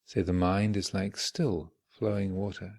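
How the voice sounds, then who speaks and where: A man leading a guided meditation as a teacher, speaking in measured, contemplative short phrases with long reflective pauses. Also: low-pitched, flat delivery, gravelly, darkish tone. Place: meditation retreat hall.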